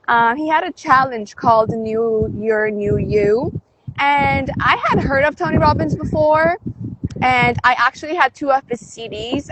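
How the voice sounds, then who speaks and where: A woman's voice: on the high side, noisy background. A woman from Canada, a DJ and life coach, talking upbeat and motivational in a livestream interview, with emphatic swings. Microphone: phone livestream.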